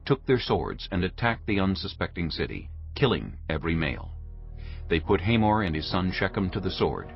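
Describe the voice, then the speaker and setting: A man with a deep, very expressive voice narrates scripture solemnly, as a storyteller. Music plays behind him, and the recording is slightly dull. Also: vocal fry. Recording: muffled audio under music.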